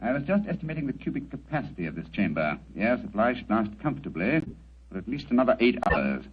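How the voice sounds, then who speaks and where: An actor with a British accent playing a brilliant, composed Victorian detective in 1940s radio drama style, with precise, commanding diction. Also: male voice, fairly low pitch, very animated, quick pace, husky. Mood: composed, analytical. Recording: muffled, noisy 1940s radio recording.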